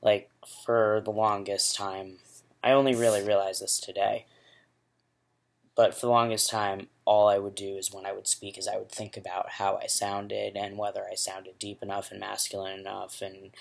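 A young man talking casually to his laptop webcam in a small room, candid and rambling, with a rumbly voice. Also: flat delivery, moderate pace. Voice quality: rumbly, gravelly. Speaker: vlogger. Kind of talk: casual video diary. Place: small bedroom indoors.

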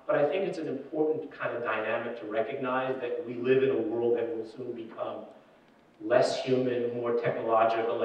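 A middle-aged man giving a conference keynote from a stage, emphatic and quick, often repeating his key phrases. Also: medium pitch. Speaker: keynote speaker. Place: dark conference ballroom on stage. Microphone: room PA picked up by a far camera. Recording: very echoey hall, distant, dull sound.

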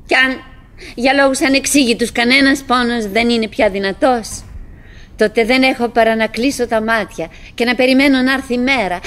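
An actress playing a chattering, doggedly cheerful middle-aged wife who fears solitude, in a halting, musing theatrical radio monologue. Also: female voice, a little high, natural voice. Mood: wistful musing. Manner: musing, halting, talking to herself and her husband.

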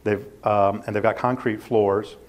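A man with a fairly low voice gives an informal technical talk. He speaks haltingly, feeling his way through the points in a self-deprecating manner. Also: little pitch movement. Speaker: company presenter. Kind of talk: informal technical slide presentation.

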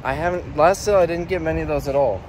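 A man's voice: fairly high pitch, very fast, a little mellow, slight background noise. A young man from the United States, chatting casually about his warehouse sale while filming himself with a phone held at arm's length. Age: young adult. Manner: rambling, matter-of-fact, filming himself while working. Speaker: vlogger running a warehouse sale. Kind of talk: casual vlog chat. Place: warehouse indoors and outdoors by the road.

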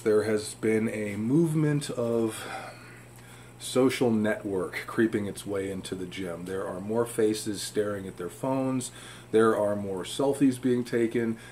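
A man's voice: lowish voice, darkish tone. A man giving informal, emphatic fitness advice to camera in a home room. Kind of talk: informal instructional talk to camera. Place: indoor home room with fish tanks. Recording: clear room hum and background noise.

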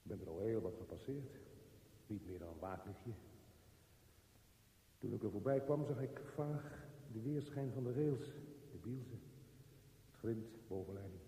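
An actor playing a frightened, brooding man lost in a dark tunnel, in a halting radio play monologue. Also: fairly low pitch, expressive. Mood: brooding, uneasy. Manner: halting, fragmented, intense interior monologue. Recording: echoey reverberant tunnel sound, faint hiss.

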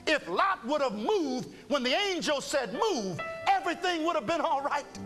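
Male preacher with a very high voice, impassioned and pleading, faint music behind. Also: sing-song, bright, forceful tone. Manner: pleading, preacherly cadence with drawn-out phrases. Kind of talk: impassioned sermon.

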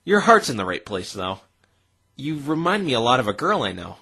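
A woman's voice: rumbling low, sing-song, normal speed, fairly bright tone, husky, heavily acted. A woman voicing an earnest, easily flustered young male defense lawyer in a visual novel dub, stammering at times. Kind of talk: visual novel fandub acting.

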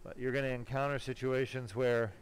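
A man working as a spine surgeon, giving casual, self-assured live commentary while he operates, pausing now and then mid-task. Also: mid-range voice, little pitch movement. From born in Germany, later lived in California. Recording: clearly noisy operating room sound. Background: room noise and ambience.

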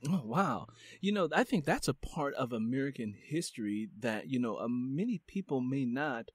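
A man's voice: mid-range voice, lively intonation, moderate pace, husky, no room sound. A man hosting a book podcast, chatting in a friendly, rambling way with fillers and restarts. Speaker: podcast host. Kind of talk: casual interview chat.

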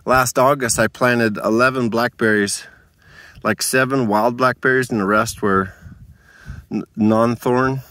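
A man's voice: medium pitch, fairly even intonation, measured pace, fairly bright tone. A man giving a casual tour of his dry desert garden, rambling and thinking aloud while filming on his phone outdoors. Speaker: home gardener.

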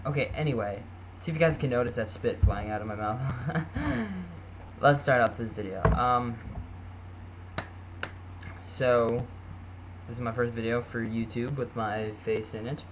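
A man's voice: medium pitch, unhurried, long pauses. Brief: teen boy, rambling and self-mocking, webcam mic, slight hiss. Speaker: vlogger. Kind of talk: casual webcam vlog.

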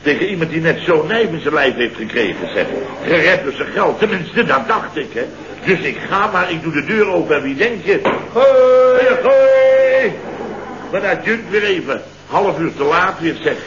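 A man's voice: high voice, very animated, moderate pace, crowd noise. An actor playing a blustering middle-aged father in a late-sixties Dutch radio comedy, telling stories with theatrical indignation and mimicked quotes. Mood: indignant. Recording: dull, roomy, noisy old radio recording.

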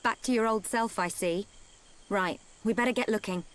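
An actress playing a steadfast, caring young woman soldier with a British accent, speaking in an earnest, measured way in a video game. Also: mid-range voice, natural voice. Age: young adult. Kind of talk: video game cutscene acting. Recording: game music behind the voice. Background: game soundtrack music.

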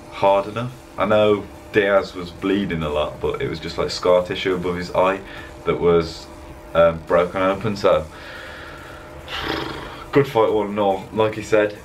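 Laid-back young man, very low voice. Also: a little hiss or hum, poor audio. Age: young adult. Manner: rambling, laid-back, chatty with fillers. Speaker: fitness vlogger. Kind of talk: casual voice-over vlog. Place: indoor room, voice-over over gym footage. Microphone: webcam at desk.

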